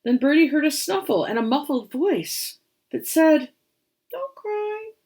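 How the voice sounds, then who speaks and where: A woman reads a children's story aloud as a storyteller. Her voice is high and very animated, with theatrical, expressive delivery. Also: normal speed. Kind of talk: dramatic children's storybook reading.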